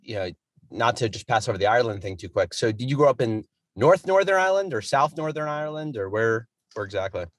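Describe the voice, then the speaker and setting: A man's voice: mid-range voice, animated, quick pace, no echo. Rambling male podcast host, dry sound. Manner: rambling, informal questions. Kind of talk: casual interview.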